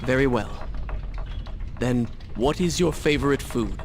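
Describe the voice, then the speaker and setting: An actor playing a dry-witted young prince in a video game cutscene, speaking in a wry, clipped way. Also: male voice, mid-range voice, measured pace, close to an everyday voice. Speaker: game protagonist.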